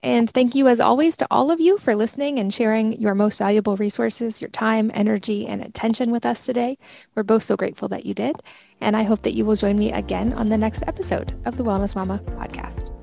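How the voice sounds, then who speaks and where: A woman's voice: a little high, fairly even intonation, fairly quick, creaky, mellow, dry recording, soft background music. A woman hosting a podcast who asks curious questions and affirms her guest's answers. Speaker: podcast host. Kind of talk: casual interview questions.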